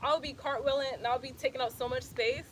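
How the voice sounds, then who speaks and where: A woman's voice: sits high, steady tone, fairly quick, a little hiss or hum. A young woman from Atlanta with an Atlanta accent, chatting casually and laughing often as she teaches handstand practice outdoors, filmed on a phone. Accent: Atlanta, Georgia. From Atlanta, Georgia, US. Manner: chatty and laid-back, says "y'all" and laughs often. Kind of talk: casual workout instruction. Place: outdoors in bright sun on an exercise mat.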